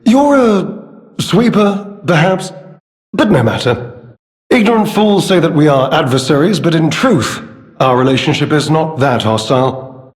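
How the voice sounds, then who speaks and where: An actor playing a suave, condescending gentleman schemer in a video game, speaking with pompous, theatrical courtesy. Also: fairly high pitch, animated, measured pace. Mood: smug politeness. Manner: pompous, affected, drawling and theatrical. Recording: long hall-like reverb.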